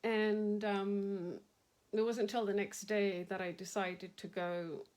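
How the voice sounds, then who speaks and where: A woman's voice: mid-range voice, level tone, takes it slowly, a little mellow. A middle-aged woman talks to her viewers in a loose, rambling way, with a slightly nasal voice. She laughs easily and greets people by name as she hosts a craft stream. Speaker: craft livestream host. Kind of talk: casual live-stream chat.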